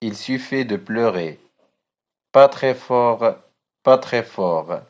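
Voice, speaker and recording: A man recites poetry as a teacher would for pupils. His delivery is extremely slow and deliberate, each short phrase measured out. The voice sits at a middling pitch for a man. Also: expressive.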